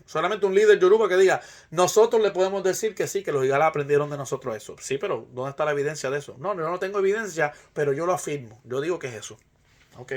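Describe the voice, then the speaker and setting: A man with a Puerto Rican accent speaks in a somewhat high-pitched voice. He lectures informally, building an argument step by step. His delivery is emphatic and rhetorical, with phrases repeated to drive points home. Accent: Puerto Rican Spanish. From Puerto Rico. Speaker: presenter, Ifa practitioner.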